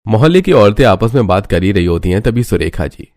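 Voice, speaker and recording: A man with a low voice narrates a family cartoon story. The delivery is scripted storytelling. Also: very animated, a little fast, darkish tone.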